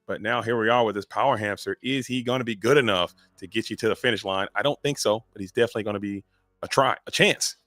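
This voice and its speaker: A man giving lively, joking play-by-play commentary on a live card game. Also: lowish voice, no room sound, studio quality. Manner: energetic, joking, drawn-out emphasis.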